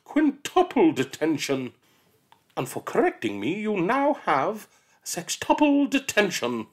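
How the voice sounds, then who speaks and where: Man doing a shrill furious old headmistress. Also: wildly swooping pitch, slow pace, heavily acted. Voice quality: shrill, bellowing. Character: furious elderly female headmistress, cruel and shrill. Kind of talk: audiobook character voice.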